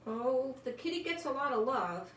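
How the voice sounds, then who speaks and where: Older female storyteller for children's read-aloud; lively pitch, expressive, chatty asides, roomy home sound with faint noise. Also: moderate pace. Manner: expressive, lingering on words, talking as to small children. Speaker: storyteller reading a picture book aloud.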